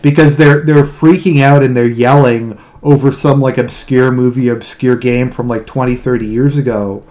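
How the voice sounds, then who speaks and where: A man with a deadpan delivery speaks casually in a solo monologue, often repeating words and restarting his sentences. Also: medium pitch, moderate pace, few pauses. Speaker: online video commentator. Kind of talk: casual monologue explaining own humour.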